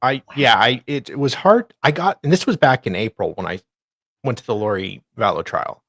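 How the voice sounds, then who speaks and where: A man's voice: medium pitch, lively intonation, moderate pace, fairly bright tone, no echo, studio quality. A man in casual conversation as a guest, agreeing readily and telling things in a hesitant way. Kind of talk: casual chat.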